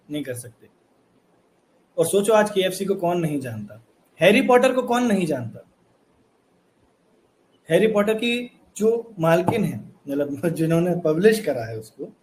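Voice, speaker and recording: Man, young adult, high-pitched voice, earnest motivational lesson, repeats points, rhetorical questions. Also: darkish tone, poor audio. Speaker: online course instructor.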